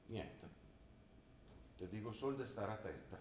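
A man's voice: on the low side, very animated, a little slow, a little mellow, slight background noise. Grumbling Trentino father in a roomy space. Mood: wary, protective. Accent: Trentino, northern Italian. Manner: hesitant, grumbling, with short muttered replies. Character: plain-spoken, worried provincial father of a student daughter. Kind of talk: naturalistic dialect stage acting.

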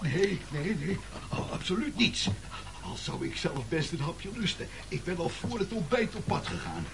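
Creaky, flustered postman. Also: on the high side, big pitch swings, moderate pace. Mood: polite, flustered. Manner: stammering, breathless, polite. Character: polite, flustered, chatty postman fished from the sea. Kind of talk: absurdist comic radio play acting. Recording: noisy old radio recording.

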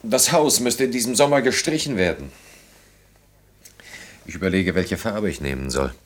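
An actor in a German radio play, playing a brooding engineer who speaks reflectively in halting, short phrases. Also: male voice, on the low side, natural voice. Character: brooding engineer haunted by wartime childhood and lost friends.

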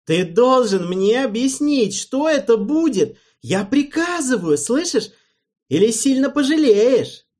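A man's voice: high voice, lively intonation, measured pace, hardly any pauses, pitched above the performer's own voice. A man voicing a hysterical, spiteful young male king who throws childish tantrums. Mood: furious. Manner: shrieking, petulant, childish tantrums.

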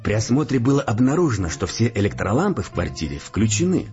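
A low-pitched male police investigator in an audio drama, officious and insinuating. He speaks with mock courtesy and deliberate menace, his intonation swinging widely. Music sits faintly behind the slightly dull recording. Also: big pitch swings, darkish tone. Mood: officious, methodical. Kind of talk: Russian audio play acting. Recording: dull-sounding audio play with background music.

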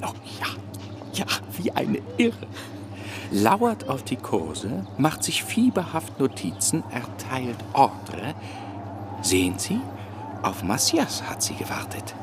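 A seedy, animated gossiping broker. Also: male voice, mid-range voice, slow, noisy background. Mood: gossipy, conspiratorial. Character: seedy, gossipy down-at-heel stock broker seeking work. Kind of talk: radio play acting.

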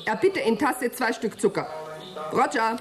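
A woman's voice: fairly high pitch, expressive, unhurried, over music. A woman playing a polite, playful astronaut and mother in a radio play, in a natural manner. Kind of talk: German radio play acting.

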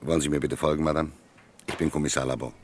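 A man playing a gruff, curt French police commissioner with a gravelly voice in a German radio crime play. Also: extremely low pitch, little pitch movement, fast talker. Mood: curt, commanding. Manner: curt, commanding. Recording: older radio drama recording, noisy background.

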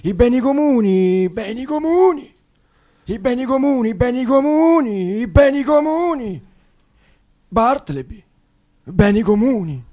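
A high, reedy professor figure who can only mumble one phrase, repeating it without end, put on by a man. He speaks slowly with a soft, mellow tone and never gets to the point. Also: sing-song, full character voice. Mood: droning. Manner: mumbling, repetitive, slow. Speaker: guest expert in a comic bit.

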